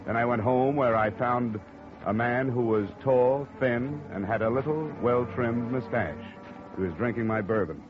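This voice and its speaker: A man's voice: medium pitch, moderate pace, over music, natural voice. An actor playing a dry, wisecracking male insurance investigator who narrates a 1950s radio drama. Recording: dull old radio broadcast.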